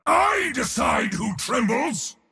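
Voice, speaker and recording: Raspy, bellowing, boastful war god. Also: male voice, big pitch swings, slow pace, sharp tone, shouting, big-room sound, heavily acted. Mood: furious, commanding. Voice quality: raspy, gravelly, powerful. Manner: pompous, theatrical, taunting, swinging between bellows and low sneers. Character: boastful, battle-hungry male god of war, haughty and mocking. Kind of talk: video game hero voice lines.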